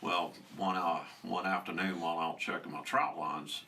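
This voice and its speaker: A man's voice: low voice, little pitch movement, moderate pace, vocal fry, pushed and bright. A man telling a personal story step by step in an interview. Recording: distant mic, faint ambience.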